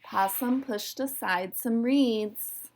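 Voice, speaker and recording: A woman narrating a children's story in an expressive read-aloud style. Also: fairly high pitch, takes it slowly.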